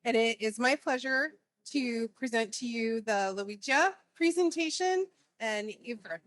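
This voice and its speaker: A woman's voice: fairly high pitch, a little slow, fairly bright tone, dry recording. Woman giving a formal event welcome. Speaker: sales director introducing a session. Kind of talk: event introduction.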